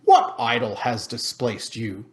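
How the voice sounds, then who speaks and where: A man's voice: medium pitch, huge pitch swings, takes it slowly. A man voicing a proud, defensive man in his prime in a storybook reading, challenging and emphatic.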